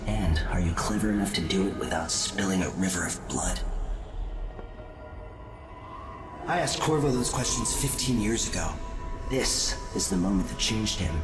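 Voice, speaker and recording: Echoing male voice, actor playing cryptic supernatural being, measured, knowing, music behind, video game cutscene. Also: low-pitched, natural voice. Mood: challenging.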